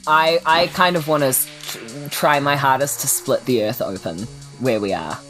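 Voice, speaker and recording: A woman's voice: very deep voice, normal speed, fairly bright tone, soft background music, rough recording. A woman with a New Zealand accent chatting casually as a player in a tabletop roleplaying game. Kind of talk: casual chat during a game.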